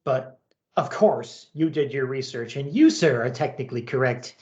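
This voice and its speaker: A man's voice: mid-range voice, expressive, normal speed, a little mellow, echoey room, lo-fi sound. A man co-hosting a comic-book podcast, narrating and commenting. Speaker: podcast co-host.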